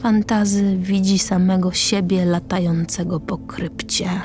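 A woman's voice: on the low side, steady tone, measured pace, darkish tone, faint music behind. A woman reading stage directions as the narrator of a scripted radio play.

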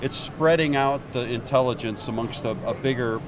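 A man's voice: mid-range voice, normal speed, background noise. A man in his fifties, a company executive, explaining his product in an upbeat way on a trade show floor, into a handheld mic. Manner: upbeat, explains while pointing at hardware. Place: busy indoor expo hall. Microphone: handheld mic held by interviewer.

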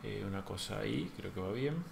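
A man, hosting a live sculpting lesson and thinking aloud as he works, with a soft voice that trails off. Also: lowish voice, lively intonation, a little fast, creaky, echoey room, noisy background. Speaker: host sculptor teaching.

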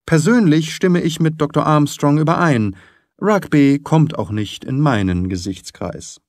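A man voicing a shrewd, confident, courteous gentleman detective in an audiobook, formal, articulate and lightly patronising. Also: on the high side, a little slow, no room sound, clean studio sound. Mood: composed. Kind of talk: audiobook character reading.

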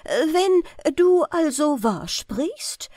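A woman's voice: sits high, big pitch swings, extremely slow, dark tone, dry recording, studio quality, pitched above the performer's own voice, full character voice. A woman voicing a proud, stubborn king in a fairy tale, with emphatic, imperious delivery. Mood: demanding.

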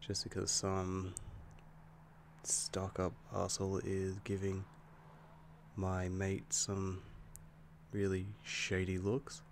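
Man as a deep, gravelly, slow-talking werewolf boyfriend. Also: completely flat delivery, slight background noise. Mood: irritated, jealous. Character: affectionate young male werewolf boyfriend, easily angered, protective. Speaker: roleplay audio performer. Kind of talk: intimate boyfriend roleplay ASMR.

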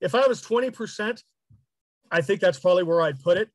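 A man's voice: high voice, animated, fairly quick, no echo. A middle-aged man giving a candid interview account over a video call from a small room. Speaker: radio traffic reporter being interviewed. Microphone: video call with headset.

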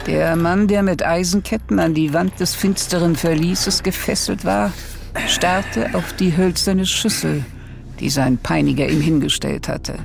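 An actor as the grave narrator of a horror radio play, with a gravelly, dramatic delivery. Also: male voice, a little high, measured pace. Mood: grave, suspenseful. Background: faint music.

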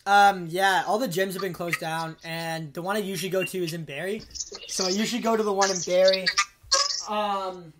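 A young man with a high, light voice, talking as a podcast host in a loose, rambling, cursing style. The sound bounces around a small echoey room, and there's a faint hiss underneath. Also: moderate pace. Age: young adult. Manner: rambling, profane, energetic. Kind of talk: casual chat.

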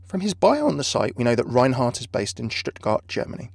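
A man's voice: medium pitch, wildly swooping pitch, fairly quick, creaky, breathy edge, no room sound. A man hosting a video with scripted narration, recorded as a close voice-over. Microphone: close dry voice-over microphone.

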